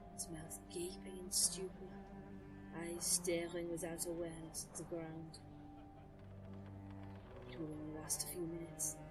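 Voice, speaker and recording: A woman playing an ancient, brooding nature sorceress who narrates an audio drama in a solemn, measured, literary manner. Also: on the low side, lightly acted, poor audio. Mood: tense, haunted. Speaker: first-person narrator. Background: music.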